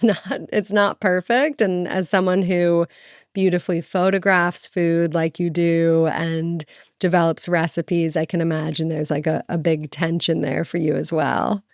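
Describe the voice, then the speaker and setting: An enthusiastic woman co-hosts a chatty podcast. Her voice is low-pitched and smooth, recorded dry and close. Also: darkish tone, no room sound. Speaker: podcast co-host. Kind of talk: casual interview.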